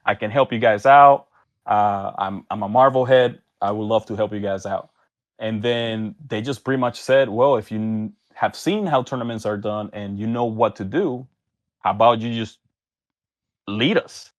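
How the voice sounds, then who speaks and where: A man's voice: on the low side, normal speed. A man from Venezuela and Miami, a podcast guest telling stories in casual slang and acting out bits of dialogue. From Venezuela, later Miami. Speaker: podcast guest, tournament organizer. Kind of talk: casual storytelling chat.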